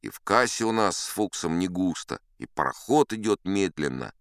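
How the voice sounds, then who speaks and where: Man playing a deep-voiced, wry old-salt sea captain. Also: animated, normal speed, a bit bright, clean tone, dry recording, studio quality. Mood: wry, unhurried. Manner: conversational, wry, leisurely asides to the listener. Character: seasoned sea captain spinning tall tales, unflappable, chatty. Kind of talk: theatrical audiobook storytelling in first person.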